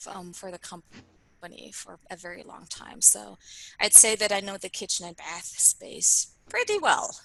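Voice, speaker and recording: Bright-voiced Swiss woman, German native. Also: mid-range voice, lively intonation, unhurried, creaky, no room sound, rough recording. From Switzerland. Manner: chatty, friendly, many fillers. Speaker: PR consultant guest. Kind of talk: webinar interview.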